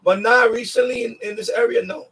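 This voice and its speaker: A man's voice: very high voice, steady tone, a little fast, a bit bright, breathy edge. A man hosting a casual live chat, rambling and often repeating himself as he talks to viewers. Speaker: livestream host.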